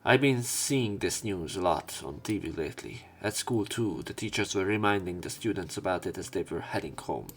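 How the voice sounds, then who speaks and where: A man reading visual novel narration aloud as a let's play commentator, with halting casual asides where he corrects himself. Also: deep voice, lively intonation, slightly rough.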